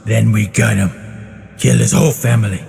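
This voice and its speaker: A man's voice: on the low side, steady tone, normal speed, creaky, a little mellow. An actor playing a cynical, bloodthirsty henchman in a video game, crude, blunt and mocking. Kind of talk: scripted video game drama. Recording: reverberant hall, music behind.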